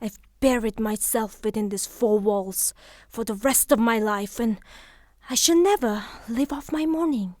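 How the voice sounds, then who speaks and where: An actress playing a proud, passionate young widow in a theatrical audio drama, haughty and dramatic in manner. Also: female voice, on the high side, unhurried, a little mellow, no echo, clean studio sound, natural voice. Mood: wounded, passionate.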